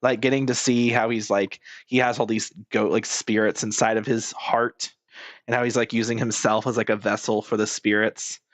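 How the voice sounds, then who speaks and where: A man's voice: lowish voice, level tone, normal speed, no room sound. A man doing casual, rambling game commentary as a streamer, blunt and informal with plenty of swearing.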